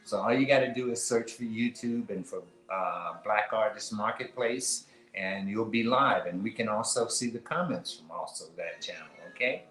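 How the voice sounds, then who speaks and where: Relaxed rambling man in a roomy echo. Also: lowish voice, normal speed, hardly any pauses. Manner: relaxed, rambling, lots of fillers and restarts. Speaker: co-host of a live online artist marketplace show. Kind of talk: casual live-stream chat.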